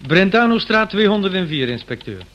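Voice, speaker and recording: An actor playing a dutiful police desk sergeant in a radio play, deferential and matter-of-fact. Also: male voice, high voice, big pitch swings, normal speed, a bit bright. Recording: dull old radio play recording.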